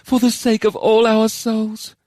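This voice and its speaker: A man's voice: very high-pitched, measured pace, a bit bright, dry recording, voice pushed up. A man voicing a woman bard warrior in an audiobook, wistful yet fervent, with an earnest, declamatory delivery. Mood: wistful, solemn. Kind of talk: audiobook character voice.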